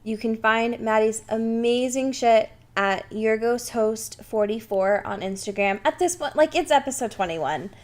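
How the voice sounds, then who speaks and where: A woman's voice: on the high side, unhurried, hardly any pauses, darkish tone. A young woman co-hosting a podcast, chatting casually and comedically in an irreverent, slangy, sweary way. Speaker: podcast co-host.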